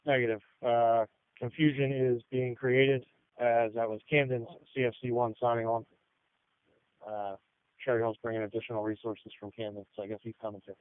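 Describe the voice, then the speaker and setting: A man's voice: medium pitch, little pitch movement, moderate pace, clear, resonant tone, no echo. A man speaking in terse emergency radio traffic over a two-way radio. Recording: tinny narrowband radio transmission.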